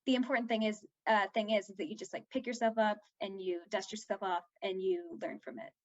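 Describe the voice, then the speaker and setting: A woman's voice: mid-range voice, steady tone, brisk, muffled recording. A young woman being interviewed as an actor, chatting informally and peppering her sentences with "like". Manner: informal, heavy use of "like". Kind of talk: casual interview chat.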